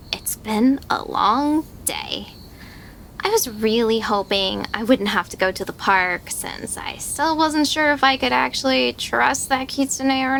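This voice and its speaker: A woman's voice: on the high side, exaggerated voice. A woman playing a weary, wary young woman musing to herself in an audio drama monologue. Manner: musing, expressive. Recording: faint background noise and ambience.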